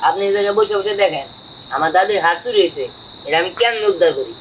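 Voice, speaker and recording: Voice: medium pitch, lively intonation, very fast, slight background noise, close to an everyday voice, rough recording. An actor playing a clever, confident child magician's apprentice, explaining in a declamatory way in a rural Bangladeshi dialect. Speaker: actor in a family comedy film. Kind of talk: staged folk-tale film dialogue. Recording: music bed under dialogue.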